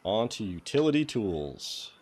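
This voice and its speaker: Low-voiced New England man hosting. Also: a little slow, slight background noise. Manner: relaxed, reads questions aloud, greets viewers by name. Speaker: host of a cybersecurity lab walkthrough. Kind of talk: casual livestream chat and tutorial.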